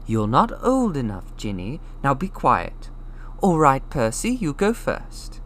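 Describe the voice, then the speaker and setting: A man voicing a plump, kindly middle-aged mother in an audiobook, brisk, motherly and reassuring. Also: medium pitch, wildly swooping pitch, fairly bright tone, pitched above the performer's own voice, exaggerated voice. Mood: firm, bustling. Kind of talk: audiobook character voice.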